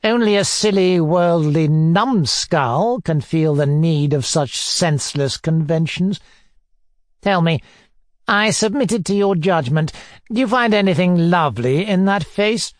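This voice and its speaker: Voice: mid-range voice, lively intonation, a little slow, no room sound, studio quality, pitched above the performer's own voice, lightly acted. A narrator voicing a pompous, bullying middle-aged man who hectors and sneers, in an audiobook. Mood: pompous, nonchalant. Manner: pompous, majestic nonchalance, hectoring questions. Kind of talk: audiobook character dialogue.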